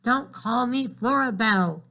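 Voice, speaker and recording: Wry, defiant young girl in a storybook, read by a man; muttered lines, slight gravel. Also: extremely high pitch, a little slow, bright, forceful tone, voice pushed up, full character voice.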